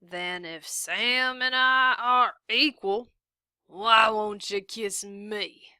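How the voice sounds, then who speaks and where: A woman voicing a drunken, leering small-town sheriff who speaks in a slow drawl. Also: medium pitch, sharp tone, smooth, dry recording, studio quality, heavily acted.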